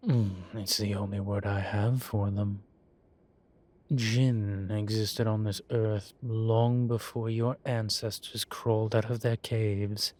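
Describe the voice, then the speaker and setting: A man voicing an urbane demon professor in an audio roleplay, erudite and wryly amused, speaking in a measured, formal way with deliberate pauses. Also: fairly low pitch, little pitch movement, darkish tone. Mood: thoughtful, confiding. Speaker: professor lecturing a class. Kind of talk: scripted audio roleplay, lecture and conversation.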